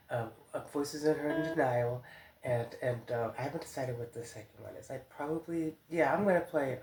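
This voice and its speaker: A hoarse woman with a stuffed-up nose hosting a casual solo podcast, rambling with many restarts and fillers. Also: very deep voice, a little mellow, reverberant. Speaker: podcast host and songwriter.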